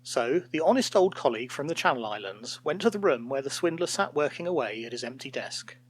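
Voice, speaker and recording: A man reading a scripted fairytale as a storyteller, in an expressive, theatrical way. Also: mid-range voice, brisk, slight background noise.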